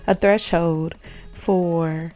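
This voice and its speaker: A woman hosting her own faith podcast in a casual solo talk. She is hoarse and sounds upbeat and reflective, with frequent ums. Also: on the low side, fairly even intonation, very slow, a little mellow, faint music behind. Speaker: podcast host.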